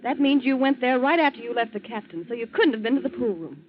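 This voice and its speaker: Young female sweetheart, played by an actress, earnest and insistent, high voice, dull old radio recording. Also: animated, fast talker, natural voice. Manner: urgent, insistent. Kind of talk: 1940s radio drama acting.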